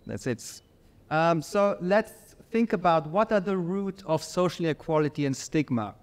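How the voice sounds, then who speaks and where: A middle-aged man with an Austrian accent delivers a workshop lecture. His voice sits a little high for a man, and he talks in a measured way, stopping often for small fillers. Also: expressive.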